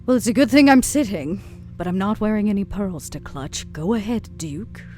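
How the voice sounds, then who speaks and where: A woman voicing a weary, sardonic female vampire club owner in a tabletop roleplay drama, wry and given to dramatic pauses. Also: mid-range voice, lively intonation, darkish tone, faint music behind, natural voice. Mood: alarmed.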